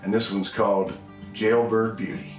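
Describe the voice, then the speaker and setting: A man's voice: fairly low pitch, flat delivery, normal speed, roomy sound, far from the mic, music in the background. A man introducing his song with a wry, laid-back story. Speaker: singer-songwriter introducing a song.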